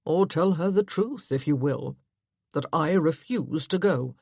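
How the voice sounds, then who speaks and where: A woman acts out a stubborn husband in a period romance audiobook, curt and sarcastic. His voice is husky and forceful, with formal, clipped phrasing in a dry recording. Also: low voice, lively intonation, unhurried, no echo, full character voice. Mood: curt, defiant.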